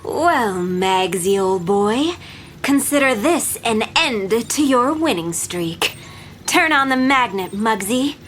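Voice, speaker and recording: A woman playing a suave, mocking crew leader in an audio drama, deliberate and theatrical in her taunts. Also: on the high side, lively intonation, a bit bright, soft background music. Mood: smug, taunting. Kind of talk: scripted audio drama acting.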